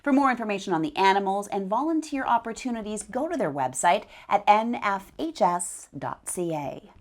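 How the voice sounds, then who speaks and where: A woman anchors a local newscast. Her pitch sits at an ordinary height for a woman but moves up and down in a very animated way. She reads scripted items in a presenter's style. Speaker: news anchor.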